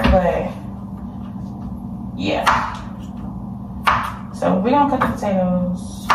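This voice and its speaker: A woman's voice: medium pitch, background noise. A young woman in her twenties chatting casually to viewers while she cooks in her home kitchen, filmed by a camera across the room. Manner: chatty, talks straight to viewers. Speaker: cooking vlogger. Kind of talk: casual cook-along chat. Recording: echoey kitchen, distant mic, clattering pans. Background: dishes and pots clattering.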